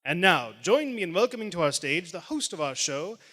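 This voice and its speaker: A showy old-time radio pitchman, acted by a man with grand theatrical flourish. His delivery swings widely in pitch and rings through a big reverberant theater, in the manner of 1940s live radio. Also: very animated, fairly quick, sharp tone, heavily acted. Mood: salesmanlike. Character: booming, upbeat old-time radio announcer and pitchman, easily startled. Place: large theater stage, live performance. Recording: live theater stage, long hall reverb.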